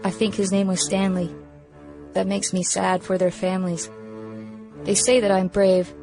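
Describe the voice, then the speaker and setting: A woman's voice: mid-range voice, little pitch movement, normal speed, mellow, lightly acted. Woman playing a young boy, brave, diary monologue, radio play, faint music behind. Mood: subdued. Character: brave young boy shark-bite survivor reading his diary.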